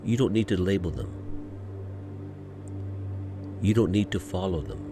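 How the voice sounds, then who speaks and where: A man guiding a meditation from a script in a gentle, soothing, unhurried manner, pausing often between short phrases. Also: deep voice, noisy background. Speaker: meditation guide. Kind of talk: guided meditation, scripted narration.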